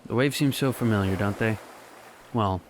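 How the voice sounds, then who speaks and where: Low-voiced wistful young man, played by an actor. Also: normal speed, natural voice. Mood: wistful, hesitant. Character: wistful, nostalgic young man addressing a lost love. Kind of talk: radio drama monologue. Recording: ocean waves and ambient noise behind. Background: ocean waves.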